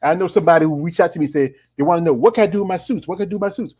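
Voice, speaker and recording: Middle-aged male host, rambling, full of "you know" fillers, slightly higher voice. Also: rapid-fire, a little mellow. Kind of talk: casual live-stream interview.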